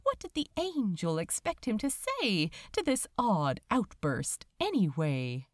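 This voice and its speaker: A woman reading fiction aloud as a dramatic narrator, expressive and measured, with deliberate pauses. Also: medium pitch, no room sound, studio quality. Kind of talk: dramatic scripted audiobook narration.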